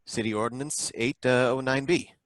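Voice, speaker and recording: An actor playing a suspicious, bumbling license inspector who asks halting, probing questions in a live old-time radio drama. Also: male voice, medium pitch, fairly even intonation, no room sound, natural voice.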